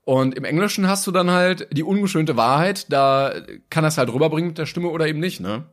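A young German man co-hosting a relaxed podcast conversation. His voice sits a little high for a man, and he speaks colloquially, thinking things through as he goes. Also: brisk. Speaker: podcast co-host. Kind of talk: casual conversation.

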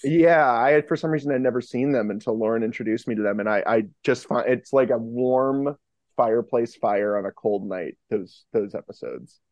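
A man's voice: mid-range voice, normal speed, darkish tone, no echo, dull audio. A man chatting casually as a podcast guest, with a jokey, self-mocking manner. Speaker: podcast guest, fiction podcast co-creator. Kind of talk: casual interview chat.

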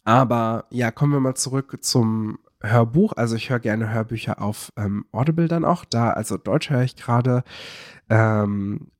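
Male podcast co-host, chatty and rambling, mid-pitched, dry close recording. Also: normal speed, no echo. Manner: rambling, many fillers and self-corrections. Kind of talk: casual chat.

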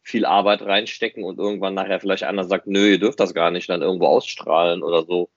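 A man's voice: sits low, fairly even intonation, fast, no room sound, dull audio. A man co-hosting a podcast in casual conversation, explaining things informally and often tagging questions onto his sentences. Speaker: podcast co-host. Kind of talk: casual podcast chat about a hobby project.

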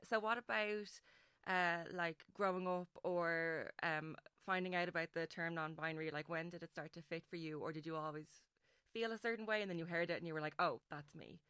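A woman's voice: fairly low pitch, brisk, a bit bright, clean tone, no echo, studio quality. A woman interviewing a guest, asking loose, searching questions with fillers.

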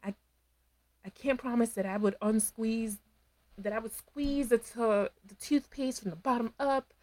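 A woman co-hosting a podcast, recapping a reality show in casual chat, animated, laughing often and acting out quotes. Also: fairly high pitch. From Dominican heritage. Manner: animated, talks with her hands, quick laughs, acts out quotes. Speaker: podcast co-host.

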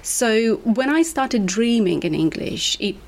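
A woman's voice: fairly high pitch, lively intonation, slow, darkish tone. Guest author, woman in her 40s from Moldova, Romanian native speaker; reflective, hesitant, plenty of fillers. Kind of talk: casual interview.

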